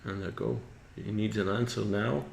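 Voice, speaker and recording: A Belgian man with a Flemish accent, a native Dutch speaker, adding quiet, brief remarks as co-commentator on a card game stream. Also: on the low side, steady tone, normal speed, vocal fry, a little hiss or hum. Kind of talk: casual commentary. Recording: roomy echo.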